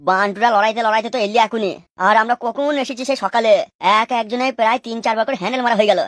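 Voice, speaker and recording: A woman's voice: a little high, very fast, no echo, close to an everyday voice. A woman voicing a bossy, crude, scheming male mason in a comic cartoon. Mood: nagging. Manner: crude, nagging, commanding.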